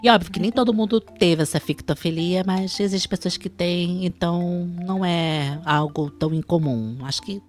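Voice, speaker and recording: A woman's voice: fairly low pitch, normal speed, hardly any pauses. A young woman with a Brazilian accent hosting a casual explanatory chat, with music under her voice. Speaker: video host.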